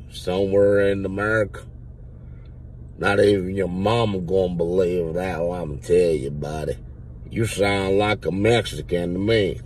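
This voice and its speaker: A man's voice: low-pitched, darkish tone, far from the mic, a little hiss or hum. A man in his thirties, seated in a car and talking into a phone, voicing a blustering redneck who claims to be a veteran, with a put-on Southern drawl and rambling, absurd talk. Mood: mocking. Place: inside a parked car. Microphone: phone held at the mouth.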